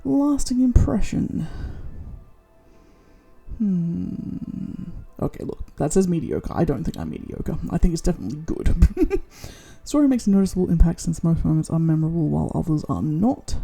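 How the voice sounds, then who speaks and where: An Australian woman with a low, gravelly voice chats casually as she scores her own story. Her tone is dark and husky, and her pitch swings widely as she talks through her thoughts. She sounds self-deprecating and chatty. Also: big pitch swings, normal speed, soft background music. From Tasmania, Australia. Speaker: vlogger. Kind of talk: casual self-rating chat.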